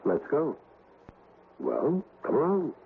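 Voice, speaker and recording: A man playing a bold, stubborn ghost hunter in a 1970s radio drama, probing and halting in a dramatic way. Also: a little high, sing-song, heavily acted. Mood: wary but bold. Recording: tinny, noisy old radio broadcast.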